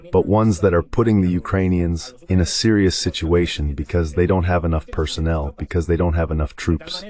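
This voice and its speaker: A man's voice: low voice, darkish tone. A man giving explanatory, conversational commentary on a war as a guest analyst in a dubbed live talk.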